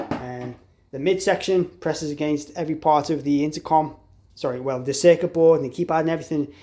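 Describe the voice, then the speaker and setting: A man's voice: fairly high pitch, mellow, a little hiss or hum. A man giving an informal, explanatory hands-on product tutorial as an installer. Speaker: installer demonstrating an intercom product.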